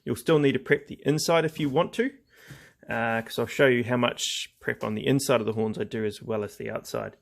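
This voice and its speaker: Gravelly New man, casual tutorial. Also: medium pitch, steady tone, brisk. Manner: informal, laid-back, explaining step by step. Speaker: craft tutorial host. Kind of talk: casual hands-on demonstration.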